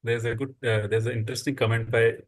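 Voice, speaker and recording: Male host, middle pitch, asks questions haltingly, feeling for the right words. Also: flat delivery. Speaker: interview host. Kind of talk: interview questions.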